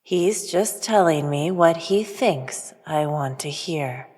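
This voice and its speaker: An actress playing an enigmatic, all-knowing otherworldly visitor in a sci-fi audio drama, speaking in a calm, measured, aloof way. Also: female voice, deep voice, breathy edge, heavily acted. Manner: measured, matter-of-fact. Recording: long reverb, music behind. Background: music.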